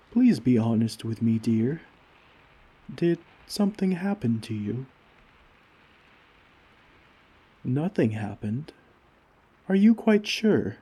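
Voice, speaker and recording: Man playing a slow, soft-toned gentle giant. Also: mid-range voice, breathy edge. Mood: gentle concern. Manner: formal, courteous, tender, old-fashioned wording. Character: gentle, kindly giant, caring friend to someone tiny. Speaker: roleplay audio performer. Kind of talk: ASMR comfort roleplay, scripted.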